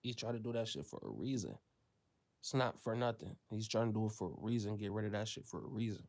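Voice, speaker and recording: A man from the United States speaking in an unscripted commentary monologue. He rants with plenty of profanity, sinking into low, quiet stretches and then leaping up into loud, high-pitched bursts when he mocks others. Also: lively intonation, quick pace. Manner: ranting, profane, swings from low mutter to loud exclaiming.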